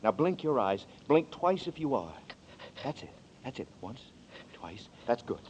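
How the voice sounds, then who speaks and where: A desperate accused murderer. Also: male voice, medium pitch, sing-song, measured pace, darkish tone, a little hiss or hum. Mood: coaxing. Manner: tense, urgent, brooding narration. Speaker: radio drama lead and narrator. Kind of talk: 1940s radio acting. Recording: dull lo-fi 1940s radio broadcast.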